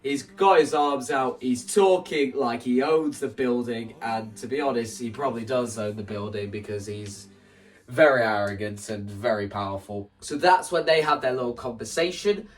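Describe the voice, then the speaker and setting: A man explaining his staging ideas to camera in a casual, animated way, like a video creator walking viewers through a plan. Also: mid-range voice, normal speed. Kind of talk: casual spoken walkthrough to camera. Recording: voice distant from the mic, music underneath.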